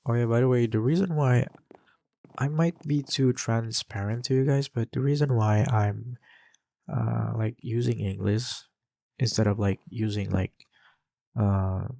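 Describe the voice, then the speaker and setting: A man's voice: medium pitch, steady tone, measured pace, vocal fry, dark tone, dry recording. A young man with an Indonesian accent, chatting loosely while playing a game, with lots of fillers and restarts. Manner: rambling, many fillers and restarts. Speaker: gaming channel host.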